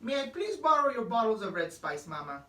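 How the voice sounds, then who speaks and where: A woman voicing an excitable little boy kitten who playfully thinks he is a chihuahua, in a children's storybook. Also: a little high, brisk. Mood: polite. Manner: playful exclamations mixed with Spanish words. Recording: roomy, distant microphone.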